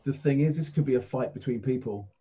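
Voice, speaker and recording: A man's voice: mid-range voice, quick pace, dark tone, husky. A man chatting as a player in a tabletop roleplaying game over a muffled online call. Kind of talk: casual game chat.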